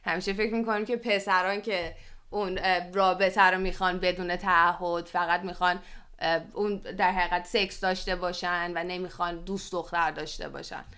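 Clear-voiced Iranian woman co-hosting a podcast. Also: on the low side, level tone, sharp tone. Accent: Iranian Persian with English loanwords. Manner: explanatory, poses questions to co-host. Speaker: podcast co-host. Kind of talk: conversational podcast discussion, presenting survey figures.

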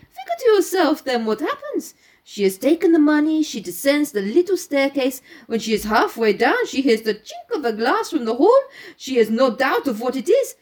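A woman voicing a calm, knowing male detective with a French accent, speaking in a deliberate, formal storybook manner. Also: high voice, voice pushed up.

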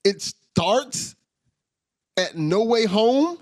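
A man's voice: high voice, expressive, drawn-out words, a little mellow, no echo, clean studio sound. A man hosting a podcast in an enthusiastic, casual way, laughing often and repeating his words. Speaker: podcast host.

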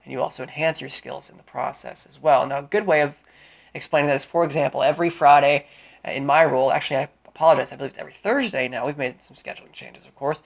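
A man hosting a solo career-advice podcast, smooth-voiced and deliberate, with a cold and a sniffle, explaining with frequent fillers. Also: on the high side, fairly even intonation, lo-fi sound. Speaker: podcast host.

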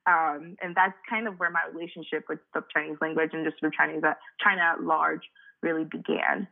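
Brief: young Cameroonian-born woman, French native, chatty guest full of fillers, lowish voice, thin phone audio. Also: level tone, a little fast, vocal fry. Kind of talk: casual interview conversation. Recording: tinny phone-like line.

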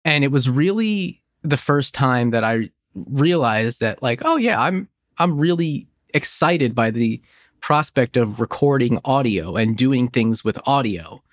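Male podcast host, thirties, medium pitch, enthusiastic nostalgic chat, dry close mic. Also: lively intonation, unhurried, no room sound. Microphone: close studio microphone in home office.

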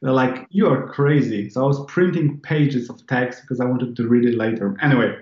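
A man's voice: medium pitch, brisk, a little mellow, roomy sound, lo-fi sound. A man in his thirties from Poland, speaking English with a Polish accent, chatting as an interview guest in a rambling way with many restarts. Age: 30s. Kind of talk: casual interview chat.

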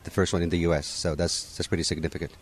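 A man from Morocco, answering questions at an outdoor holiday gathering in a relaxed, conversational way, often saying "you know" and restarting his sentences. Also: low-pitched, rapid-fire, husky.

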